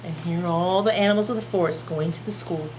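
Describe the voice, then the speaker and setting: A woman's voice: lowish voice, fast, background noise. A woman teacher reading a storybook aloud to young children, expressively and with simple explanations.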